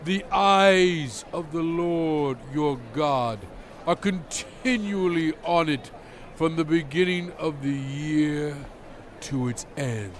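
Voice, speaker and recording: A man playing a solemn, commanding biblical prophet in a dramatized scripture reading, speaking in a measured, emphatic, exhorting way. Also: on the high side, slight background noise. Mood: earnest, exhorting. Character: solemn commanding male biblical prophet addressing his people.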